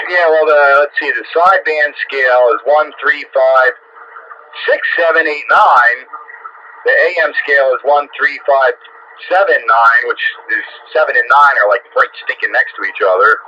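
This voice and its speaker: A man's voice: mid-range voice, moderate pace, bright, forceful tone. A man talking over a CB radio as a hobby operator, in casual, folksy chat, often repeating his own phrases. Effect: CB radio transmission. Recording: muffled, noisy CB radio transmission.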